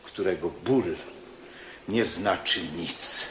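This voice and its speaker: Low, solemn missionary, echoing. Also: male voice, takes it slowly, fairly bright tone. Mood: exalted. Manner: lofty, sermonizing. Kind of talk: theatrical radio drama acting. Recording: echoey space with faint ambient noise.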